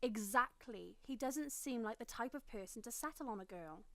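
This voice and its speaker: A woman plays a teasing, sceptical royal servant in an audio drama. Her voice is on the high side, casual, recorded dry. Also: expressive, normal speed, bright, forceful tone, dry recording. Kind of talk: scripted audio drama acting.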